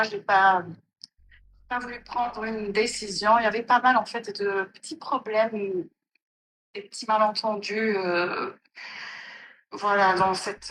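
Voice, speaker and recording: A woman's voice: mid-range voice, fairly bright tone, a little hiss or hum, poor audio. A Moroccan woman in her thirties calling in to a live stream, telling her story hesitantly. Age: 30s. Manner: hesitant, full of "euh" and restarts. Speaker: caller. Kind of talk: casual phone-in storytelling.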